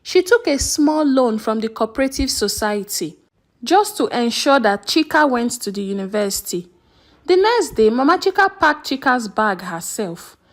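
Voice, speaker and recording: High, animated woman storyteller. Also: normal speed. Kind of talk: scripted folktale narration.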